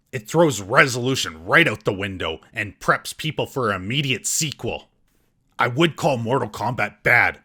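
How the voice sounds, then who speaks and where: Male review host, lowish husky voice with a bright, punchy edge, sarcastic scripted comedy narration. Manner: sarcastic, mocking.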